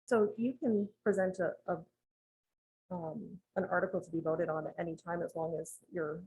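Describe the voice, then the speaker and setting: A woman advising a town board on budgeting, explaining rules in a measured, methodical way with frequent ums, in a town meeting room. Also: lowish voice, steady tone, mellow, husky, rough recording. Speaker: municipal finance advisor presenting to a town board.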